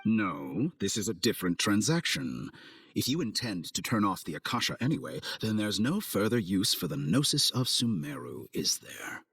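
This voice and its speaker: An actor playing an arrogant villain scientist in a game, with a measured, condescending, theatrical delivery. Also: male voice, lowish voice, sing-song, fairly bright tone, breathy edge, heavily acted. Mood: sly, persuasive. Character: suave, arrogant male villain scientist, coldly amused schemer. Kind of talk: video game cutscene acting. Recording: studio voice over game music.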